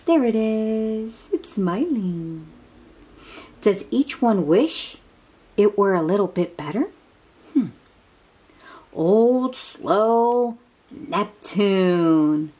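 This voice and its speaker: A woman reads a picture book aloud to young children at a very unhurried pace. Her voice swings expressively up and down as she exclaims and coaxes little listeners with simple questions. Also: medium pitch, a little mellow, husky.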